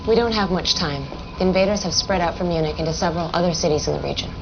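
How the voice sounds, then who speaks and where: An actress playing a brisk, urgent military aide in a video game cutscene. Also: female voice, fairly low pitch, lightly acted. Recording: dull lo-fi audio, clear background noise.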